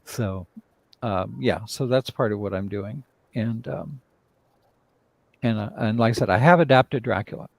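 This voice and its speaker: A man's voice: lowish voice, unhurried, vocal fry, dry recording. A man talking casually as a playwright in a live discussion, rambling and often interrupting himself. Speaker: playwright and director, interview guest.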